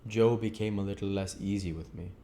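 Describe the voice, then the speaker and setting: A man's voice: on the low side, steady tone, fairly quick, dark tone. A man reading a classic novel aloud as an amateur audiobook narrator.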